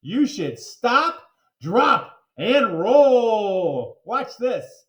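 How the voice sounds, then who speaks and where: An earnest school security officer acted out by a man reading a storybook instructs children in an emphatic way. The voice is fairly high for a man and swings widely in pitch. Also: big pitch swings, extremely slow, fairly bright tone, full character voice. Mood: emphatic, instructive.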